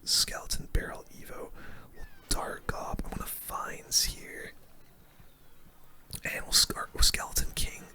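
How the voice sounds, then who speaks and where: An ASMR gaming host giving casual play-by-play commentary in a hushed, breathy, unvoiced whisper with gamer slang. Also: measured pace. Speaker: gaming video host playing a card battle game.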